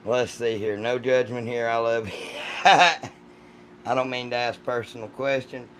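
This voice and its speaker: Man, rough polyp-worn voice, folksy and salty, joking livestream host, casual chat, faint background noise. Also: steady tone, unhurried, a bit bright. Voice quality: deep, rough voice from vocal cord polyps. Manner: folksy, joking, foul-mouthed.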